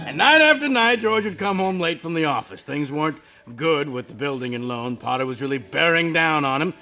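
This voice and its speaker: A patient heavenly narrator, voiced by a man in a radio play. His voice rises and falls with lively storytelling, and faint music plays behind him. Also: on the high side, brisk. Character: calm heavenly male narrator, an angel's superior.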